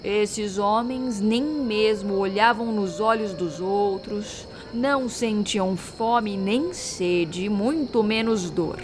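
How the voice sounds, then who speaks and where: Woman as a slow, ominous old storyteller, noisy background. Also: medium pitch, close to an everyday voice. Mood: ominous, mysterious. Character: very old street woman telling eerie sea legends. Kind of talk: slow dramatic storytelling in an audio drama.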